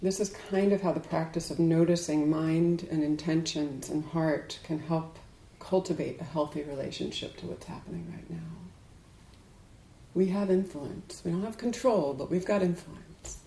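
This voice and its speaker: A woman giving a dharma talk to a group as a Buddhist teacher, speaking in a measured, deliberate way with emphatic pauses. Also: sits low, dark tone, a little hiss or hum. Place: indoors in a room. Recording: echoey room.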